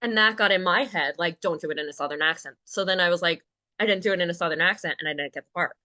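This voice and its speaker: A woman's voice: fairly low pitch, fast talker, pushed and bright, dry recording. A young adult woman, a podcast guest, telling stories in a chatty, candid way. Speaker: guest, Paralympian and actor. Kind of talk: casual interview chat.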